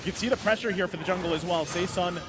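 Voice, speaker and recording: A man's voice: high-pitched, very fast. A man calling an esports match play by play in a conversational way. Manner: conversational, leans on tag questions. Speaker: esports caster. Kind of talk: play-by-play commentary.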